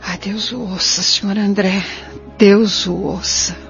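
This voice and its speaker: A woman's voice: mid-range voice, fairly even intonation, slow, vocal fry. An actress with a Brazilian accent playing an anxious, courteous mother in an audio drama, speaking formally and deferentially. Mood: anguished. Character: Idalina, anxious, courteous pleading mother spirit. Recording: dull lo-fi audio with music bed.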